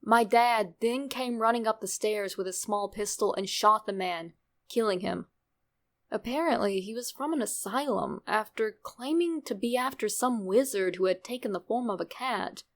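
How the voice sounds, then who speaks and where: A woman reading a scripted creepypasta in an expressive storytelling way, with dramatic pauses and drawn-out words. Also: mid-range voice. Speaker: narrator reading a horror story.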